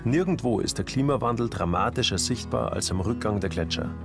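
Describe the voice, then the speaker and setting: A man narrating a documentary voice-over in a calm, matter-of-fact way. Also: on the low side, brisk, gravelly. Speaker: documentary presenter. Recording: voice-over with music bed.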